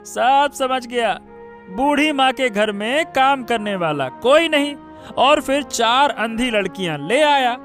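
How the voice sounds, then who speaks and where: A man's voice: extremely high pitch, expressive, a bit bright, heavily acted. A man voicing a sneering, meddlesome village minister in a Hindi cartoon moral story. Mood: mocking, taunting. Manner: taunting, drawn-out questioning tags. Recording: music bed under the voice.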